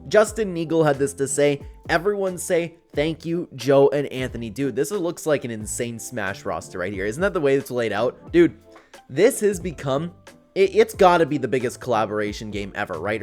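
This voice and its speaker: A man's voice: medium pitch, lively intonation, brisk, faint music behind. A young man giving casual, chatty YouTube-style gaming commentary, talking informally to his viewers. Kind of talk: casual commentary reacting to read-out viewer posts.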